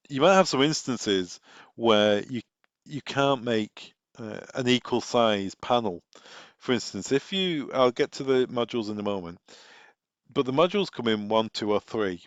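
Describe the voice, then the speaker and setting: A man's voice: medium pitch, expressive, normal speed. A man presenting informal product training, explaining things step by step through practical examples. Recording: echoey room, dull muffled sound.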